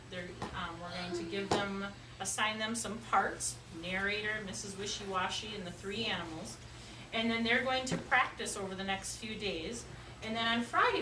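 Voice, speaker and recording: A woman teaching young children in a classroom, speaking in an encouraging, questioning way with hesitant phrasing and pauses. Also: medium pitch. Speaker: elementary school teacher. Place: indoor classroom. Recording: roomy, noisy classroom, distant mic.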